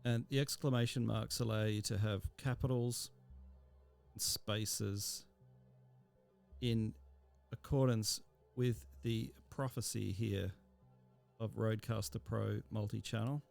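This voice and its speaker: A man giving an informal software tutorial as an instructor, explaining step by step in a halting way with pauses mid-sentence. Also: fairly low pitch, vocal fry.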